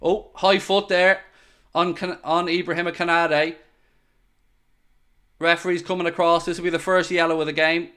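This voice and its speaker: A man hosting a live football watchalong stream, calling each pass and joking with the chat. His voice is smooth and clear and sits a little high for a man. He talks excitably and speaks straight to his viewers. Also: moderate pace, pauses often. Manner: excitable, jokey, addresses viewers directly. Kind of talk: live match commentary.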